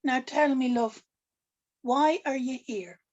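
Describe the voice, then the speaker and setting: A woman's voice: sits high, takes it slowly, darkish tone, lo-fi sound. A woman playing a shrewd, theatrical witch who tells fortunes, in a scripted drama table read. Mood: probing, cool.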